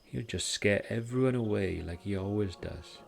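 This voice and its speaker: A man's voice: on the low side, normal speed, gravelly, faint music behind. Welsh man voicing an exasperated little female monkey. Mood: weary reproach. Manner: sighing, reproachful. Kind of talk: bedtime storybook character.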